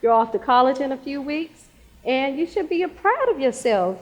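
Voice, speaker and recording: An encouraging, proud school coach in a stage drama, acted by a woman. Her voice is fairly high. It resounds in a big hall. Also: expressive, normal speed. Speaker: actor in a stage play. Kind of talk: live stage play acting. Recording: reverberant hall, live stage recording.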